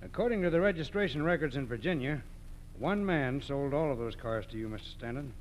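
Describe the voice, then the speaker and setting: An American actor playing a brisk, probing male FBI agent, matter-of-fact, in a 1940s radio drama. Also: mid-range voice, expressive, lightly acted. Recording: noisy, humming vintage radio recording.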